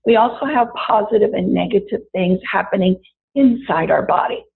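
A woman with a raspy voice after an illness, teaching a wellness lesson and chatting directly to her listeners. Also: mid-range voice, moderate pace. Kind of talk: guided body-awareness talk. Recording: tinny phone-like audio.

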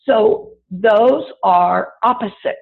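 Female wellness teacher, gravelly from recent illness, talks straight to listeners, thin phone-line sound. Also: mid-range voice, slow. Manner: warm, chatty, addresses listeners directly. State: raspy voice after illness. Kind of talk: guided body-awareness talk. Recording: tinny phone-like audio.

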